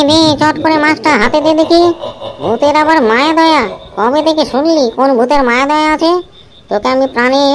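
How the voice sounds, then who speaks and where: A woman's voice: sits high, lightly acted. A woman voicing a bullying, shameless male cartoon ghost with a threatening, taunting manner. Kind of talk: cartoon voice acting.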